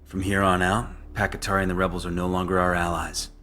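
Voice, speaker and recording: Low, forceful soldier. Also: male voice, flat delivery, quick pace, a little hiss or hum. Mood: grim. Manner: terse, blunt. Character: tough male special forces team leader, terse and skeptical. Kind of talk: dramatic video game cutscene dialogue.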